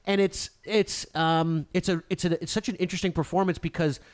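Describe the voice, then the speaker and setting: Higher-voiced man chatting about movies. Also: steady tone, brisk. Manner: sweary, opinionated. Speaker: podcast co-host. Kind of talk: casual movie chat.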